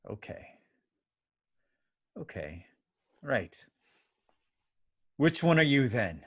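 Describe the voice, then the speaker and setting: A man's voice: mid-range voice, sing-song, drawn-out words, husky, heavily acted. An actor playing a distraught, defensive and profane man who has lost his memory, in a staged play reading over a video call. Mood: defensive, angry. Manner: swings from muttering to shouting.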